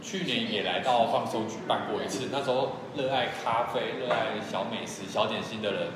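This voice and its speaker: A man's voice: fairly low pitch, brisk. A man with a Taiwanese Mandarin accent, co-hosting a livestream in casual, playful promotional chat. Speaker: co-host of a livestream. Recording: very echoey hall, music behind. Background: music.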